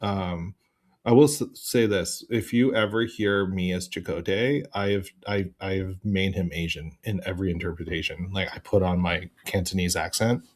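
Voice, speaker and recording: A man chatting casually as a podcast guest, telling stories in an animated way with jokey asides. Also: low voice, moderate pace. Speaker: podcast guest, improv voice performer. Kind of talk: casual chat.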